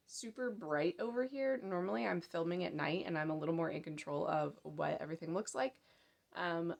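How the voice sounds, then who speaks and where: A woman's voice: on the low side, creaky. A woman in her thirties with a slight cold, chatting casually about her handmade work in a rambling, friendly way. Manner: chatty, rambling, lots of fillers. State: hoarse from a cold. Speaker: crochet pattern designer and vlogger. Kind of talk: casual show-and-tell chat. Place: home craft room in daylight.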